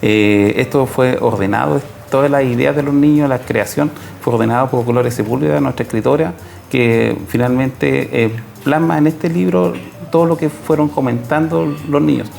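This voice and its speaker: A man with a Chilean accent answering as an interview guest, explaining haltingly and rephrasing as he goes. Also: medium pitch, creaky, a little mellow, faint music behind. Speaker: social worker and program coordinator, guest.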